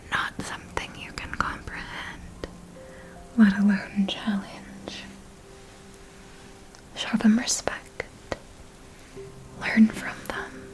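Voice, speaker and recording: A woman voicing a seductive, menacing elder female vampire mentor in a soft breathy whisper, with deliberate, formal phrasing for an ASMR roleplay. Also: medium pitch, natural voice, poor audio. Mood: grave, warning.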